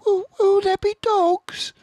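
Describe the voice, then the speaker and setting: A little fox cub, very high squeaky voice, stammering, frightened. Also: male voice, level tone, slow, darkish tone, no room sound. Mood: scared. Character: small frightened fox cub, young boy.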